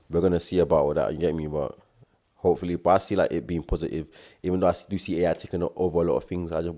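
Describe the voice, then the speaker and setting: A young man with a very deep, dark voice and a South London accent, talking in quick bursts. His speech is loose and slangy, with repeated words and stammers as he co-hosts a laid-back chat. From South London, England. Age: young adult. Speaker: podcast co-host. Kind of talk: casual chat.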